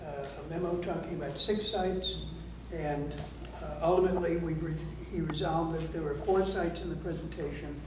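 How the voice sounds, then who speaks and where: A man on a town council, reporting and reading out correspondence in a measured, formal way at a public meeting. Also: a little high, fairly even intonation. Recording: echoey meeting hall, distant mic, noisy.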